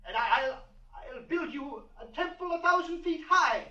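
A sharp-voiced, high-pitched, grandiose patriarch. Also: male voice, animated, distant mic, exaggerated voice. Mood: desperate bargaining. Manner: halting, stammering, grandiose. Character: rich, commanding patriarch pleading and bargaining desperately. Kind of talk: 1940s-50s American radio drama acting. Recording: muffled, echoey old radio, off-mic.

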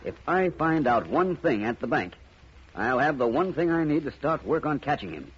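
An actor playing a calm, courteous private detective with an assured manner in a 1950s radio drama. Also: male voice, mid-range voice, normal speed, slightly rough. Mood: reassuring, confident. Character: calm, confident, polite male private detective. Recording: muffled, noisy old radio recording.

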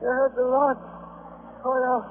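A dying male sailor in an old radio play, his voice very high and weak. He drags out broken, accusing phrases between gasps. The recording is tinny and hissy. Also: monotone. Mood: bitter, accusing. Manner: halting, gasping, broken phrases. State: weak, short of breath. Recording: noisy, band-limited old radio recording.

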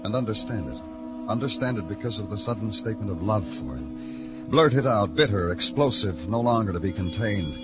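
An actor playing a hard-boiled police detective in an old radio drama, clipped and brooding. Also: male voice, lowish voice, animated, a little fast, over loud music, close to an everyday voice. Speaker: detective and narrator. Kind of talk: 1950s radio noir narration and acting.

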